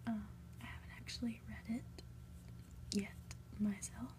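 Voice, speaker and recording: Slow, whispering woman reading aloud. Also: fairly high pitch, little pitch movement. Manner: gentle, unhurried, soft-spoken. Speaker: ASMR host. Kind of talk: whispered ASMR book reading with casual asides.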